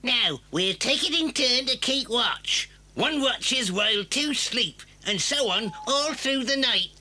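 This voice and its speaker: An actor playing a smug, scheming farmer in a children's audio story, with a deliberate, self-satisfied manner. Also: male voice, extremely high pitch, expressive, bright, forceful tone, full character voice. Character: scheming, smug male farmer leading his cronies.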